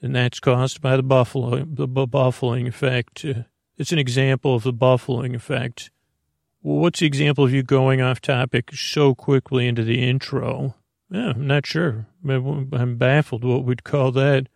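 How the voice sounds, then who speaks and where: A man with a medium-pitched, heavily creaky voice delivers a bedtime podcast monologue. His manner is soothing and meandering, with stammers and sentences that break off and restart. The sound is very dry, with no room echo. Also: little pitch movement, darkish tone. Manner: soothing, meandering, halting with frequent stammers and self-interruptions. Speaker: podcast host.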